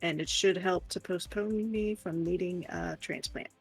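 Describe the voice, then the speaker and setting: A woman with a creaky voice chatting casually as co-host of a livestream, wry and playful. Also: on the low side, steady tone, measured pace, soft background music, poor audio. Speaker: streamer's wife and co-host.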